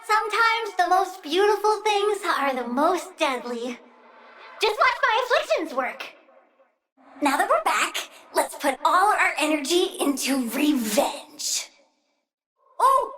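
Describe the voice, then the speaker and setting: A bratty young plant-witch girl from a video game, with bright, piercing tone and unhurried phrasing. She is doting and childish one way, bossy and vengeful the other, and her melody leaps around in an animated, cutesy-villain style. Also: extremely high pitch, recorded from a distance, exaggerated voice. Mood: petulant, alarmed. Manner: childish, petulant, cutesy menacing.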